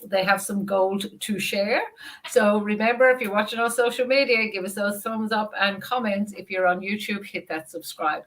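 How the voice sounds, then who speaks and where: An Irish woman hosting a business interview, effusive and upbeat as she talks to her audience and guest. Her voice sits at a middle pitch. It comes through a video call. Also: moderate pace, fairly bright tone, low-quality recording. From Ireland. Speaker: host. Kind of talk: interview host introduction.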